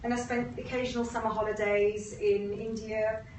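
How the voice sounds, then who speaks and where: A woman's voice: fairly high pitch, level tone, normal speed, a little mellow. A woman in her fifties with a British accent gives a personal, reflective talk in an informal style. She sounds some way from the microphone in a reverberant room, with noticeable noise behind her. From Uganda-born, grew up in England. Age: 50s. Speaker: presenter, agency co-founder. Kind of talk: personal narrative presentation. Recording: roomy, noisy, distant mic.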